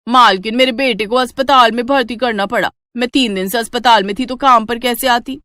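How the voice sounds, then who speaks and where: A woman's voice: fairly high pitch, fairly quick, bright, forceful tone, no room sound, clean studio sound, voice pushed up. A woman voicing a wheedling, insistent housemaid full of excuses in a family story. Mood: pleading. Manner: insistent, pleading. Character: wheedling, excuse-making housemaid demanding money.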